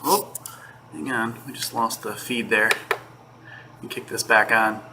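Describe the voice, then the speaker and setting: A man giving a casual, unscripted tech product review from home, relaxed, with frequent fillers and restarts. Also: on the low side, unhurried, noisy background.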